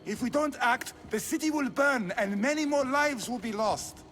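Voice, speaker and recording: An actor playing a cold, formal, embittered noble of an ancient race who despises humans, in a video game drama. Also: male voice, extremely high pitch, moderate pace, a bit bright, faint music behind. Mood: insistent.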